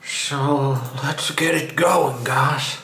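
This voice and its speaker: Man, Finnish accent, unhurried delivery, sarcastic casual vlog commentary, crude and mocking, roomy echo. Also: medium pitch, fairly even intonation, pushed and bright. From Finland. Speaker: vlog host. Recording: roomy echo, some background noise.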